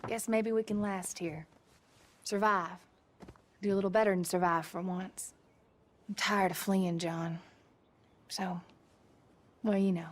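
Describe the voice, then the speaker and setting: A woman's voice: mid-range voice, steady tone, measured pace, long pauses, natural voice. An actress playing a tired, caring frontier wife and mother in a Western game, plain-spoken. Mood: weary, hopeful. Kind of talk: naturalistic Western game acting.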